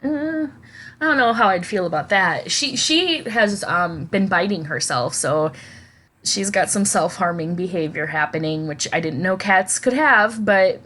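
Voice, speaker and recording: A woman in her thirties with an Iowa accent, co-hosting a podcast in casual chat while hoarse and raspy from a cold. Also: mid-range voice, lively intonation, a little slow, fairly bright tone. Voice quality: husky, raspy. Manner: chatty, self-deprecating. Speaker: podcast co-host.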